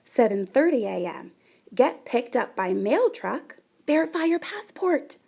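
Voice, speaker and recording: A woman reading a children's picture book aloud in an animated, deliberate way with dramatic emphasis. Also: high voice, creaky, breathy edge. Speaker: storybook reader.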